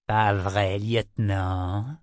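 A man's voice: fairly low pitch, measured pace, no room sound, clean studio sound, close to an everyday voice. A man voicing a sly, leering, lazy sailor in an audiobook, condescending and colloquial in manner. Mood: leering, menacing. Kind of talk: audiobook character voice.

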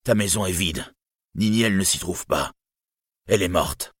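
Man playing an anguished, defiant healer, husky voice. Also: sits low, measured pace, a bit bright, no echo, clean studio sound, full character voice. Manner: grave, emphatic, anguished. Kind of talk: dramatic fantasy storybook reading.

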